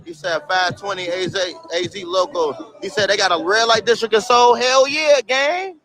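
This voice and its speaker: A man's voice: high voice, moderate pace, pushed and bright, faint music behind. A young man livestreaming from a city street, chatting loosely with his viewers in heavy slang and swearing, reading comments aloud and laughing at them.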